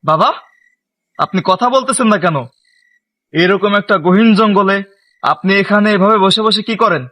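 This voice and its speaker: A man's voice: high voice, a little slow, long pauses, sharp tone. A man playing a blunt, commanding bandit chief in a horror drama. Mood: questioning, bossy. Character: gruff male bandit chief, bossy.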